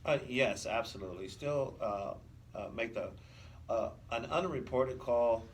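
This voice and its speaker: A man speaking as a police officer guest in an interview, explaining things in a hesitant, pausing way. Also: medium pitch. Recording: distant mic, faint background noise.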